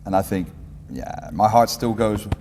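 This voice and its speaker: Mellow-voiced man in a reverberant room. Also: on the low side, measured pace, a little hiss or hum. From England. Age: 40s. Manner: relaxed, rambling, self-correcting with light humor. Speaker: guest, entrepreneurship teacher. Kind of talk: casual interview answers.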